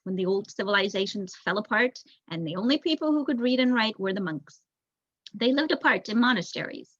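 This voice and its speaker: A young woman voicing a thoughtful, bookish male rat elder telling a storybook history in a measured, reflective way. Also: a little high, a bit bright, no echo. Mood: reflective, earnest. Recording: dull lo-fi recording.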